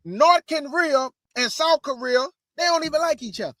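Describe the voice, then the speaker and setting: A man's voice: very high voice, lively intonation, moderate pace, bright, forceful tone, dry recording, clean studio sound. A man hosting his show, giving casual religious commentary in a preachy way and calling his listeners family. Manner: preachy, addresses audience as family. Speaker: host.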